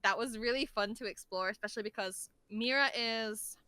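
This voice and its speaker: A woman's voice: on the high side, normal speed, pushed and bright, clear, resonant tone, no echo. A woman chatting casually as a podcast guest, playful and giggly, often rambling and hesitating as she works out what to say. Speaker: voice actor guest being interviewed.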